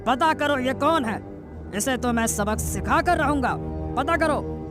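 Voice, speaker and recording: Woman voicing a high-pitched, scheming cartoon minister. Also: expressive, measured pace, music in the background. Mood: threatening. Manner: commanding, menacing. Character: scheming, threatening thieving royal minister, a grown man. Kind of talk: cartoon story voice acting.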